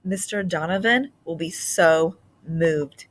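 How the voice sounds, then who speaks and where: Storybook art teacher, played by a woman reader: female, gentle, sincere, full of encouragement for a pupil. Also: lowish voice, slow pace. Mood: admiring. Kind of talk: storybook read-aloud dialogue.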